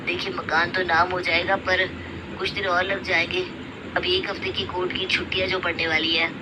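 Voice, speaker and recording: A woman voicing a patient, unhurried male lawyer in a moral story, formal and placating. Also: fairly low pitch, little pitch movement, background noise, pitched below the performer's own voice, natural voice. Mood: calm. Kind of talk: Hindi moral story acting.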